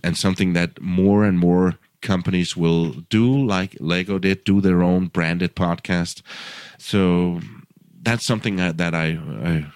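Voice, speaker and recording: Deep-voiced Danish man telling his story. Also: clean tone, no echo, clean studio sound. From Denmark. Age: 50s. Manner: repeats words and restarts often, chuckles, animated when quoting himself. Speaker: podcast guest, radio producer. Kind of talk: casual interview storytelling.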